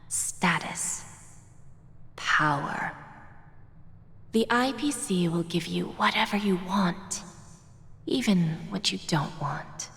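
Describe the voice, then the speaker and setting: An actress voicing a commanding, quietly menacing female envoy in a game cutscene, speaking in a soft, condescending half-whisper. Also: lowish voice, in a large hall, heavily acted.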